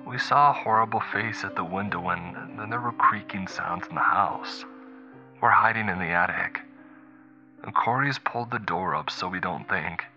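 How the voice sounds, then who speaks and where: A man's voice: low-pitched, moderate pace, pushed and bright, faint music behind, voice pushed up. A man voicing a terrified young woman who whispers tearfully on a phone call while hiding. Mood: frightened whisper.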